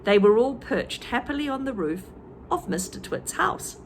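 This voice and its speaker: A teacher reading a children's book aloud, with chatty asides to young listeners. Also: female voice, fairly high pitch, lively intonation, normal speed, slight background noise.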